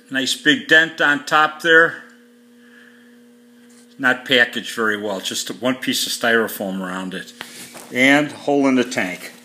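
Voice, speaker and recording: A man's voice: mid-range voice, sharp tone, slight background noise. A man casually walking through a garage, talking off the cuff with lots of fillers in a matter-of-fact way, filmed on a handheld phone. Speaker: home mechanic reviewing a tool. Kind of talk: casual unscripted video walkthrough. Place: home garage.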